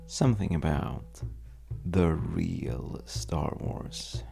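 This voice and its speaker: Man acting a deep, gravelly, roguish bar stranger. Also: big pitch swings, warm tone, faint music behind, heavily acted. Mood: amused storytelling. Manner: wry, teasing, hesitant storyteller with dramatic pauses. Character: well-dressed roguish stranger at a bar, wry trouble-seeking charmer. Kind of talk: intimate audio roleplay monologue addressed to the listener.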